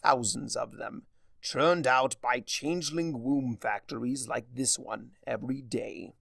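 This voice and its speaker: A man's voice: medium pitch, measured pace, vocal fry, fairly bright tone, no room sound, clean studio sound. A man voicing a gleefully mad, theatrical spirit of chaos who lectures in a smug, mock-cheerful way, in a story reading. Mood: gleeful lecturing.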